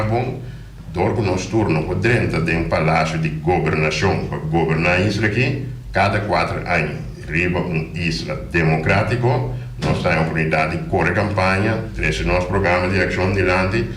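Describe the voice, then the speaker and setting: Very deep-voiced man, emphatic on air. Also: fairly quick, fairly bright tone, reverberant, slight background noise. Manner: passionate, emphatic, repeats words. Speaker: island politician, guest. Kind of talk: radio interview, casual talk. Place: radio studio.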